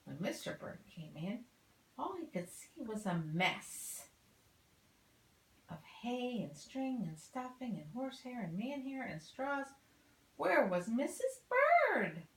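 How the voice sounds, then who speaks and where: An older woman reads a bedtime story at a slow, deliberate pace, stretching key words. Her fairly high voice moves up and down expressively in an echoey room. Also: big pitch swings. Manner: deliberate, with drawn-out emphatic words. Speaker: grandmother reading a bedtime story. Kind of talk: expressive children's story reading. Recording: roomy home recording, faint noise.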